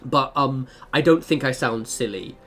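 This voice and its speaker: A man's voice: medium pitch, normal speed. A young man chatting casually as he streams a game, telling rambling stories and often interrupting himself. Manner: rambling, animated storytelling with self-interruptions. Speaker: game livestreamer. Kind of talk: casual livestream chat over gameplay.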